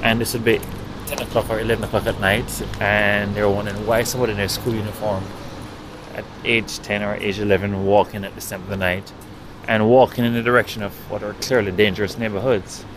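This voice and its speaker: A man with a Jamaican accent, a writer, recounting his own experiences in a measured, thoughtful way with pauses mid-sentence. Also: on the low side, level tone, noisy background. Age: adult. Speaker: writer and guest. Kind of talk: reflective storytelling.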